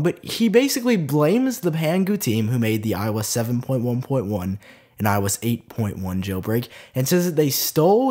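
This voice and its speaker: Young male tech vlogger, stuffed-up nose, a touch high-pitched, chatty and animated. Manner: chatty, lively, lots of "like" fillers. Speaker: tech video host. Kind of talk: casual tech news vlog.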